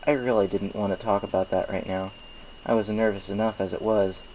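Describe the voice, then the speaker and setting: A man with a fairly low voice narrates a story in the first person. He reads from a script as the narrator. Slight noise runs behind his voice. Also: flat delivery, a little fast, a little mellow, rough recording. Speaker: fan fiction narrator.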